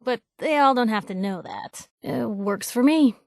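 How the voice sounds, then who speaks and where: An actress playing a plucky, principled young woman reporter in a video game, speaking in a candid, wry, expressive, slangy way. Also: a little high, unhurried, dry recording, clean studio sound. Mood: wry, teasing. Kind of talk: video game companion dialogue, conversational storytelling.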